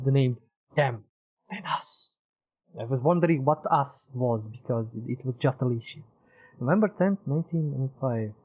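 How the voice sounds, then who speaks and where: Eastern european accent guy doing a game stream, medium pitch, jokey and rambly. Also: darkish tone, husky, rough recording. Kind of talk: casual live gameplay commentary.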